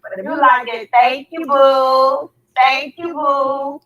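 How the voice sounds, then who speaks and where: A woman with a hoarse, raspy voice hosting a casual livestream chat. She laughs often and keeps repeating her words. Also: sits high, steady tone, takes it slowly, a bit bright. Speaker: livestream host.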